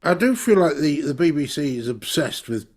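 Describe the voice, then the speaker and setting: A man's voice: fairly high pitch, very animated, moderate pace. A man making wry remarks during a casual podcast chat. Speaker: podcast co-host.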